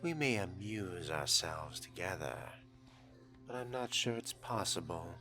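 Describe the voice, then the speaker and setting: A man's voice: low-pitched, animated, a little slow, bright, forceful tone, faint music behind, full character voice. A man voicing a suave, sly fledgling vampire in a role-played video game, with a theatrical, mock-polite drawl. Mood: suave flirtation.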